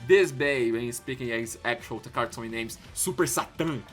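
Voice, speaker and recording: Excitable Brazilian man with a bright voice. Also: a little fast, faint music behind. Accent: Brazilian Portuguese. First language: Portuguese. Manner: excitable, spikes louder and higher when thrilled. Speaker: YouTube channel host. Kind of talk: enthusiastic collector commentary.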